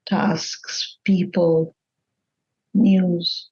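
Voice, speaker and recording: A woman leading a guided meditation in a gentle, measured manner with long pauses between phrases. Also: on the low side, flat delivery, highs cut off.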